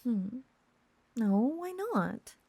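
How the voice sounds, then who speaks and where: A woman playing a lovesick, obsessive witch in an intimate roleplay audio drama, cooing in a breathy, husky half-whisper with lingering pauses. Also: fairly high pitch, big pitch swings, warm tone, no echo, heavily acted. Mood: lovestruck, giddy. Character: lovesick obsessive young witch, possessive, teasing and doting.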